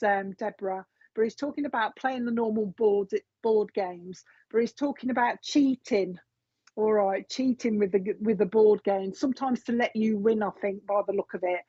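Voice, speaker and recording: Chatty woman, husky. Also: medium pitch, mellow, no echo. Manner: chatty, relaying messages with frequent fillers and asides. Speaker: medium giving a reading. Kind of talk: spoken psychic reading. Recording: dull, dry lo-fi sound.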